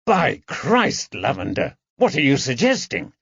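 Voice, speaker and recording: A man voicing an angry, commanding elderly gentleman, the indignant master of a household, in an audiobook. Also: mid-range voice, huge pitch swings, measured pace, vocal fry, husky, no echo, muffled recording, voice pushed up, exaggerated voice. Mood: outraged. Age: older. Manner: indignant, snapping, forceful. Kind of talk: audiobook character dialogue.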